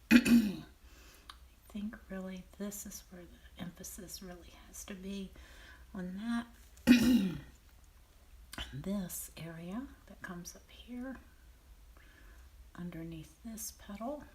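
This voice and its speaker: A woman with a Southern American accent and a raspy, half-whispered voice, calmly talking through a painting demonstration and often clearing her throat. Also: mid-range voice, slight background noise. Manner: unhurried, thinking aloud while painting, frequent throat clearing. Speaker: painting teacher.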